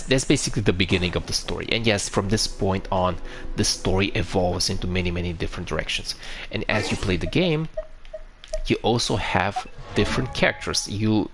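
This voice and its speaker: A man's voice: on the low side, a bit bright, slight background noise. A man from Romania with a Romanian accent, chatting casually on a live stream, answering viewers and explaining a game with frequent restarts.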